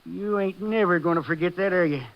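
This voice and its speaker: An actor playing a cagey, penniless old war veteran with a Texan accent in a 1950s radio drama. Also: male voice, sits high, a little fast. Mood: wheedling, cantankerous. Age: older. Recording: muffled old-time radio recording.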